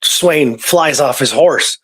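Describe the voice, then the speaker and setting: A man's voice: a little high, takes it slowly, a bit bright, breathy edge. A man co-hosting a talk show, telling history in a casual, conversational way, often checking in with the listener. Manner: conversational, peppered with "you know" and "right". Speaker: radio show co-host. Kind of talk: casual storytelling about Old West history.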